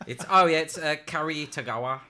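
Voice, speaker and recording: A man's voice: on the high side, fairly quick, bright, forceful tone. A man hosting a podcast, chatting casually and emphatically with friends. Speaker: film podcast host. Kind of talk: casual film chat among friends.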